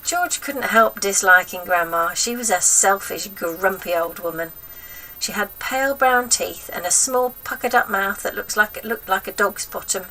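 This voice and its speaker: Woman narrator, crisp bright forceful tone, medium pitch, expressive storybook reading, slightly distant from the mic. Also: moderate pace. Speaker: storybook reader.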